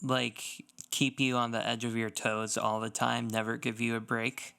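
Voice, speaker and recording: A man speaks as a podcast co-host in relaxed conversation. His voice is medium in pitch and very clear and smooth. He talks in a loose, rambling way, with frequent "like". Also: a little slow. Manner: loose, rambling, says "like" often. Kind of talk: casual podcast chat.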